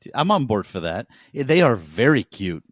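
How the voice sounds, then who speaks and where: A man co-hosting a podcast, telling things in an animated, emphatic way amid casual banter. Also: medium pitch, normal speed, no echo. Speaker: podcast co-host.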